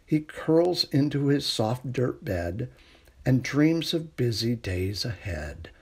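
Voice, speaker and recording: A middle-aged man reading a children's picture book aloud in a gentle, unhurried bedtime manner, with a husky, creaky voice. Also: medium pitch, very animated. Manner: gentle, unhurried bedtime delivery with hushed refrains. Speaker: storytime reader.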